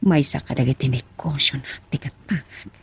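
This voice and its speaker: A woman playing a lovesick schemer in hushed radio drama monologue. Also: a bass voice, fairly even intonation, moderate pace, vocal fry, husky, heavily acted. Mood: brooding.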